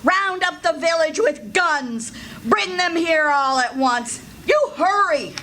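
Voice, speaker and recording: A woman playing an excitable, easily scandalised older country aunt in an old-time radio play, with dramatic exclamations. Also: very high-pitched, takes it slowly, exaggerated voice. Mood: alarmed, frantic. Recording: live stage recording with faint noise.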